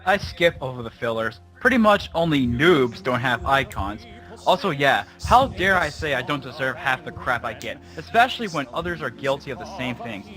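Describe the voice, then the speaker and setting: A man's voice: medium pitch, big pitch swings, normal speed, a bit bright. A man giving a dramatic reading of an online argument in a dismissive, snide manner. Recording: music playing behind the voice. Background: music.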